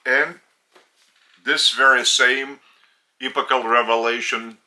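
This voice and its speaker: Male spiritual teacher, Lithuanian accent. Very bright, forceful tone, slow delivery in short, deliberate phrases. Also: mid-range voice, fairly even intonation. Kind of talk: religious teaching talk.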